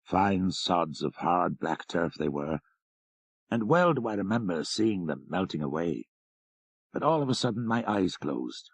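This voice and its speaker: A man's voice: sits low, big pitch swings, unhurried, husky, dry recording. A man reading a literary story as scripted audiobook narration.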